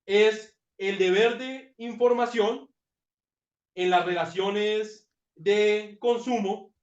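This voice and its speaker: A man presenting his doctoral thesis in a master class, talking reflectively with frequent fillers and repeated words. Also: high voice. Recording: roomy, dull lo-fi sound.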